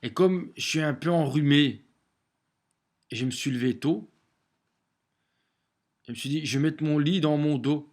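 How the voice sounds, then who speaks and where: A man with a cold, talking alone to his camera at home in a halting way, with many repeated words and restarts. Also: on the high side, darkish tone. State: has a cold. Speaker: vlogger sharing daily messages. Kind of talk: casual home video monologue. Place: small room at home, facing a window.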